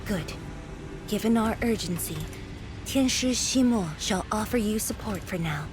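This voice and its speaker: A woman's voice: mid-range voice, music in the background, lightly acted. Actress as formal, composed female game commander. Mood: grave, resolute. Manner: measured, formal. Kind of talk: video game voice acting.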